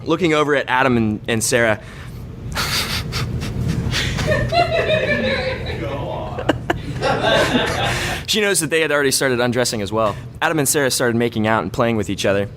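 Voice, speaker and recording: A man's voice: medium pitch, wildly swooping pitch, takes it slowly, long pauses, very noisy. A man doing a live reading of a bawdy comic story, stumbling over words and breaking into laughter now and then. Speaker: comedy troupe member reading own story.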